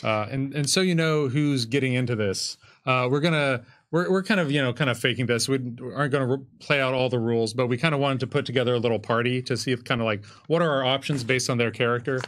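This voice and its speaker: Mid-pitched man, casual game co-host. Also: fast, few pauses, a bit bright. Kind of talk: casual unscripted game presentation. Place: small curtained studio, seated at a table. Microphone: microphone on a boom stand.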